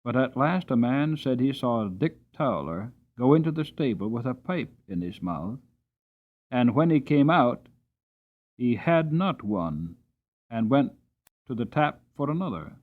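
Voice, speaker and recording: Male audiobook narrator, expressive dramatic reading, middle voice, dull recording. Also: medium pitch, unhurried.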